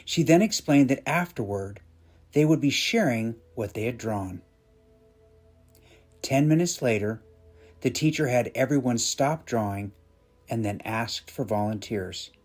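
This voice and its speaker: A man's voice: medium pitch, expressive, unhurried, pauses often, darkish tone, faint music behind. A man narrating a scripted short story as the storyteller.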